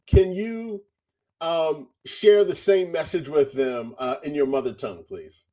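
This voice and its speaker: A man's voice: on the high side, a little slow, mellow, rough recording. A man interviewing a language tutor, upbeat and encouraging, often repeating his praise. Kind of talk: interview questions.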